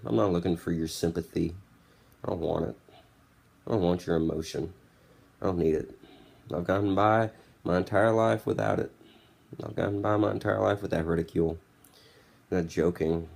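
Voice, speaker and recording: A man's voice: sits low, fairly even intonation, normal speed, creaky, pauses often, a little mellow, breathy edge. A man in his twenties giving a candid, blunt, casual motivational monologue. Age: 20s. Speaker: podcast host. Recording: music playing behind the voice. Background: background music.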